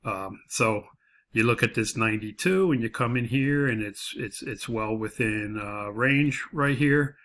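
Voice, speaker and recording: Bright-voiced man explaining a tutorial. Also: mid-range voice, measured pace. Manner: explanatory, repeats and rephrases points. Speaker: instructor. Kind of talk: off-the-cuff tutorial walkthrough of a spreadsheet.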